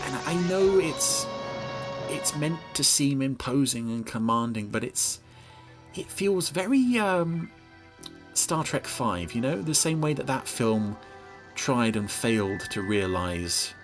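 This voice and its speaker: A man hosting a podcast, giving wry, hesitant and expressive running commentary on a television episode. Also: mid-range voice. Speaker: podcast host.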